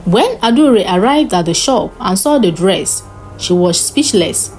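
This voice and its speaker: A woman narrating a scripted folktale in an expressive, dramatic storytelling style. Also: medium pitch, unhurried, soft background music, low-quality recording.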